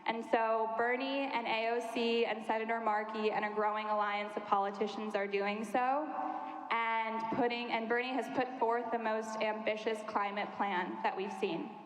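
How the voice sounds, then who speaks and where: A woman's voice: fairly high pitch, steady tone, normal speed. Young woman speaking in a reverberant hall. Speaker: youth climate organizer. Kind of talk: prepared public remarks. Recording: echoey hall with long reverb.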